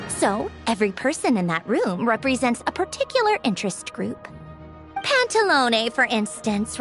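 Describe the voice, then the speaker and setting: Woman voicing a wry, brisk harbinger engineer. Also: medium pitch, natural voice. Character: sharp-tongued female Fatui harbinger engineer, bossy. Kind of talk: game voice acting. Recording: game dialogue over soft music.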